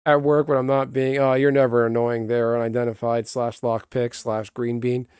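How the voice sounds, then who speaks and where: A man with a calm, even voice chats casually with his viewers. He rambles, restarts his sentences and talks straight to the chat. His voice sits at a middle pitch, close to the mic in a dry, dead room. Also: moderate pace, clean tone, no echo. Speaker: streamer. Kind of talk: casual live-stream chat.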